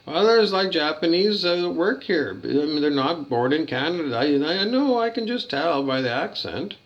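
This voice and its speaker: A man's voice: fairly high pitch, expressive, moderate pace. Rambling, swearing man on a lo-fi recording. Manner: rambling, hesitant, repeats himself, swears when riled. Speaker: hotel guest reviewing his loot bag. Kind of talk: rambling complaint rant. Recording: dull lo-fi recording.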